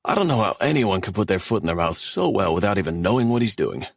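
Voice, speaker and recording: A man's voice: low voice, very animated, fast, vocal fry, no room sound, studio quality, voice pushed up, heavily acted. A man voicing a chatty, earnest young college guy. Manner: earnest, talkative.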